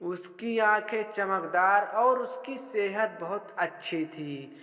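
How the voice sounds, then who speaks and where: High-pitched man narrating slowly, heavy reverb. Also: sharp tone. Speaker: storyteller. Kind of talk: scripted children's story narration in Hindi. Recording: long hall-like reverb.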